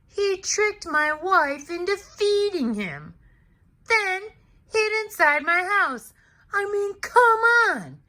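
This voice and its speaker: A woman's voice: high voice, sharp tone, voice pushed up, full character voice. A woman voicing a big, hungry male giant who tells his side of a storybook tale in an aggrieved, exclamatory way. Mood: indignant, boastful. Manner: exclamatory, indignant, deliberate storyteller. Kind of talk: expressive children's storybook read-aloud.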